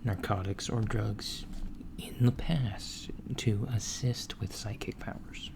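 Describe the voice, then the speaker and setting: A man playing a calm alien doctor disguised as a human, speaking softly and hesitantly in a gentle, clinical ASMR roleplay. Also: lowish voice, slow. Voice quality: soft, breathy, near whisper. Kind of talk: soft-spoken ASMR roleplay. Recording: lo-fi with clear background noise.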